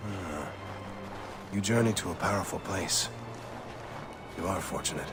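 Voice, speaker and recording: A very deep-voiced solemn warrior. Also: male voice, a little slow, music in the background. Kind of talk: video game cutscene acting.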